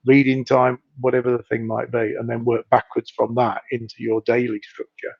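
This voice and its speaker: A man with a gravelly, husky voice who is losing it a little and keeps clearing his throat. He talks as a guest in a casual video-call chat, thoughtful, circling back and repeating words as he works out his point. The sound is dry and dull, like web-call audio. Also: mid-range voice, flat delivery, normal speed, no room sound. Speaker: hypnotist guest. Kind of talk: casual live-stream chat.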